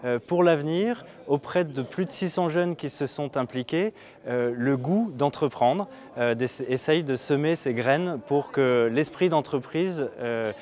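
A man's voice: a little high, measured pace, a little mellow. A man in his thirties giving formal, somewhat hesitant interview remarks. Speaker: president of regional development agency. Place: large lecture hall, emptied.

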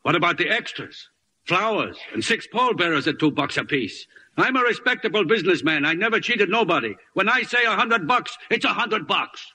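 強欲な葬儀屋、明るく鋭い声. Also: male voice, high voice, lively intonation, a little fast, sharp tone, husky, heavily acted. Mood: indignant. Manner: hard-bargaining, businesslike. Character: grasping, self-righteous male small-town funeral director. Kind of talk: old-time radio acting. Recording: noisy vintage radio recording.

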